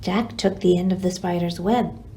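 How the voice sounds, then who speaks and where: A woman hosting a library storytime, reading a children's picture book aloud in an expressive, dramatic way. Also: on the low side, normal speed, a little hiss or hum.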